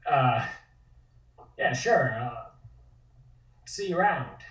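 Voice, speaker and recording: A man's voice: medium pitch, animated, very slow, a bit bright. A man voicing an awkward, bashful but loyal guy with hesitant delivery in a table read, from afar in an echoey room. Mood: awkward, shy. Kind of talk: dramatic table read of a comic script. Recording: distant, echoey room sound.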